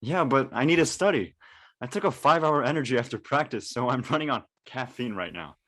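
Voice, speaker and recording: A man plays a diligent, worn-out high school athlete in an audio drama. He speaks casually in slang, with lively swings in pitch. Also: brisk. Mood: tired, easygoing. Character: tired but diligent teenage boy athlete. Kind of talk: online dramatic table read.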